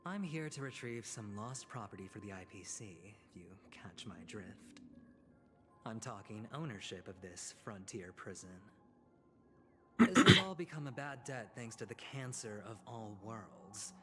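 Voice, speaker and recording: A man's voice: on the low side, natural voice. Man acting a sly, theatrical corporate schemer. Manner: theatrical, sly, teasing pauses. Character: smooth, scheming young male corporate negotiator and gambler. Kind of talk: video game voice acting.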